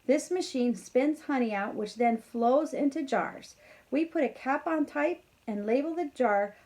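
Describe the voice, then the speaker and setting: A woman reading a children's story aloud in an expressive, encouraging way, talking directly to young listeners with casual asides. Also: sits high, a little slow. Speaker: teacher reading a bedtime story to pupils.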